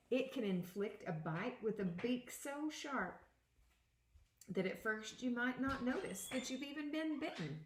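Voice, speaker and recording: A woman with a mid-range voice reads a picture book aloud for young children. She phrases things deliberately, like a teacher making each point understood. The room around her voice is noticeably echoey. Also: medium pitch, a little hiss or hum. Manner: deliberate teacherly phrasing, pausing to explain words. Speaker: second-grade teacher.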